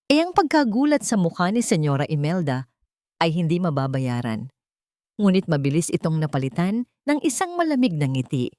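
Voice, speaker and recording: A woman with a Filipino accent narrating a dramatic first-person story in measured, solemn, deliberate phrases. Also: lowish voice, warm tone, no room sound, studio quality. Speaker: audiobook narrator.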